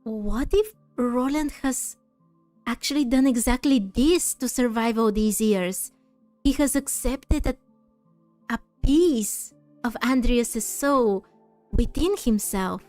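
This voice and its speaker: Slow-talking, higher-voiced woman gamer. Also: warm tone, dry recording. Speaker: gaming streamer. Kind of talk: game playthrough, reading dialogue aloud and musing. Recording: dry, faint game music behind.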